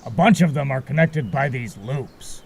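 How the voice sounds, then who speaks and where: Guy playing a laid back male fairy for an audio drama, bit evasive, creaky voice that bounces around a lot. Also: medium pitch, expressive, moderate pace, heavy background noise. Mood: explaining, assured. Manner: breezy, casual, a bit evasive. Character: carefree, cagey male marsh fairy guide.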